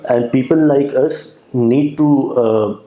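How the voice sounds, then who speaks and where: A young man with a Pakistani accent, answering interview questions with a hesitant manner, full of pauses and restarts. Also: mid-range voice, dark tone, reverberant, low-quality recording. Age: young adult. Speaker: guest entrepreneur.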